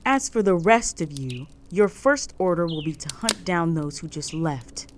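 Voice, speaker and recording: A woman playing a stern, proud, possessive female orc chieftain in a roleplay audio drama, speaking in a formal, measured, authoritative way. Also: fairly low pitch, animated, a little hiss or hum. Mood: commanding, stern.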